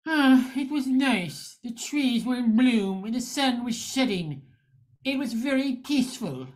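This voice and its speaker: High, halting, befuddled husband, played by a woman. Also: animated, darkish tone. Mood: wistful. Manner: halting, stammering, drawn-out words. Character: befuddled, hesitant husband losing his brain cells. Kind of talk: radio play acting.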